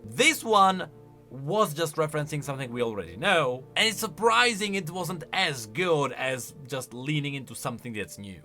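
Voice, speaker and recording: A man delivers casual commentary on game lore in a bright, slightly high voice. His pitch swings in a lively way as he reasons aloud and stresses his points. Soft music plays behind him. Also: moderate pace. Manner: expressive, emphatic, thinking aloud.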